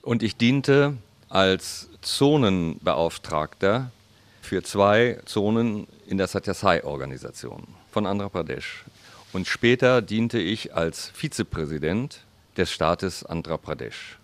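A man interpreting an interview into German as a voice-over, speaking slowly and deliberately. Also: deep voice, animated. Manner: slow, deliberate, careful phrasing.

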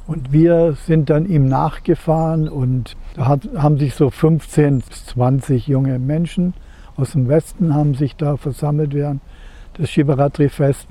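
A man in his seventies from Germany, recalling his life in an interview in a measured, reflective way, searching for words. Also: on the high side, mellow. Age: 70s. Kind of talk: reflective personal recollection in an interview.

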